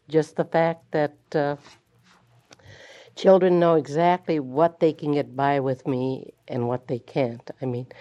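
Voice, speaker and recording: Slow, low-voiced older woman teacher. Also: dark tone. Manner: hesitant, many fillers and restarts. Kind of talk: oral history interview. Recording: dull lo-fi tape.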